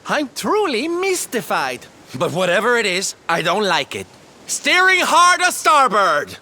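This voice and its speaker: An actor voicing a flamboyant, theatrical entertainer in a video game, camp and dramatic in manner. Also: male voice, sits high, wildly swooping pitch, a little slow, bright, forceful tone, slight background noise, full character voice. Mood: uneasy, dramatic.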